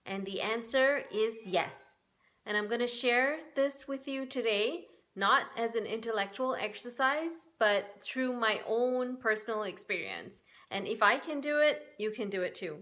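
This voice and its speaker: A woman's voice: on the high side, expressive, measured pace, fairly bright tone. A woman in her forties from Singapore, giving an earnest, upbeat personal talk that encourages other women. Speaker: spiritual workshop host. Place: Bay Area, United States.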